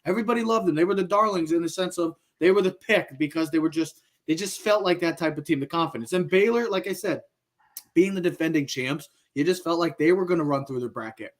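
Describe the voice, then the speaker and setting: A man's voice: fairly high pitch, quick pace. A man co-hosting a sports podcast in a casual style, building his points in short, repeated phrases. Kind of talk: casual sports talk, commentary.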